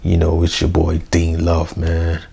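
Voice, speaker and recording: A young man, hoarse, rambling through a casual unedited review vlog with lots of fillers and slang. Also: low-pitched, completely flat delivery, measured pace, warm tone. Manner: rambling, full of fillers like "you know" and "man". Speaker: wrestling review vlogger. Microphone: basic mic, plans a new one.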